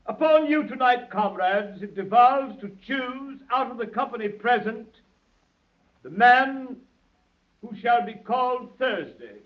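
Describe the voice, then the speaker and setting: Anarchist orator in old radio play, really high voice, preachy and grand, muffled 30s recording. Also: male voice. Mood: solemn, formal. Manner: declamatory, rhetorical, solemn. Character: impassioned anarchist orator addressing his secret brotherhood. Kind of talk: 1930s radio drama oratory.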